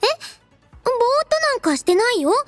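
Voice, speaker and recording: A woman voicing a cheerful, devoted robot girl in an anime game, childlike and playful, with a cutesy, expressive manner. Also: very high-pitched, fairly quick, no room sound, faint music behind. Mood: excited. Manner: cutesy, refers to herself in third person, expressive. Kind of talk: Japanese anime game character voice lines.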